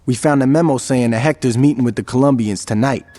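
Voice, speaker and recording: An actor playing a commanding, wry street gang leader in a video game, speaking in authoritative casual slang. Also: male voice, mid-range voice, quick pace, warm tone, natural voice. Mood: briefing.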